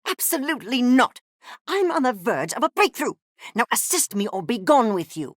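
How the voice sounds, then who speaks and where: Pompous, bright-voiced mad scientist creature. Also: mid-range voice, sing-song, moderate pace, no echo, clean studio sound. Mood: impatient, focused. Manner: pompous, clinical, wordy, precise diction. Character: arrogant, obsessed mad-scientist furry creature, pompous and impatient. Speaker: video game character voice. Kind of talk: theatrical game character acting.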